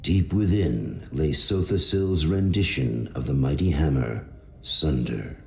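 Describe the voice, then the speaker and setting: An actor playing a solemn storyteller, narrating a fantasy video game in a measured manner. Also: male voice, very deep voice, lively intonation, gravelly, roomy sound, faint music behind, heavily acted. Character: solemn male storyteller of heroic fantasy tales.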